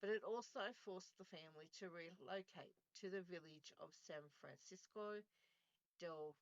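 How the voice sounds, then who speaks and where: A woman's voice: on the low side, normal speed, dry recording, muffled recording. A woman hosting a true crime podcast, reading from a script and often stumbling and correcting herself. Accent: Australian. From Australia. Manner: reads from a script with frequent restarts and self-corrections. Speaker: podcast host.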